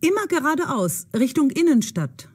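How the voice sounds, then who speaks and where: A woman playing a bold, decisive young woman in an audio drama, brisk and determined. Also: high-pitched, sing-song.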